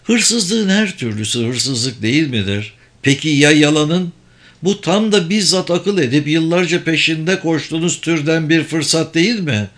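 Man voicing a high-pitched, insistent, superior sorcerer. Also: unhurried, fairly bright tone. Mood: insistent, persuasive. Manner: explanatory, insistent, formal. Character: persuasive, superior sorcerer who runs secret political games.

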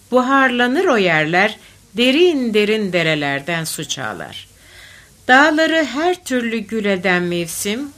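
Female poetry reader: unhurried, declamatory recitation of folk verse with a lively, wide-moving pitch.

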